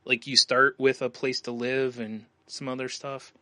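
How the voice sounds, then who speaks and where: Bright-voiced man chatting casually. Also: medium pitch, little pitch movement, a little slow, no room sound. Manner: casual, profane, joking, chuckles often. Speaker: podcast co-host. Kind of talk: casual chat.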